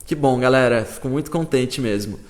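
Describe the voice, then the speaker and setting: A man's voice: mid-range voice, a little fast. A man with a Brazilian Portuguese accent, teaching a live-stream lesson and answering viewers in a casual, explaining way with frequent check-ins. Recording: roomy echo.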